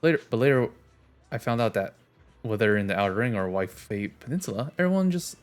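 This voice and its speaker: A man's voice: on the low side, lively intonation, fairly quick, faint music behind, lightly acted. A man reading the part of a carefree young woman who wants to stir up mischief, in a playful tone.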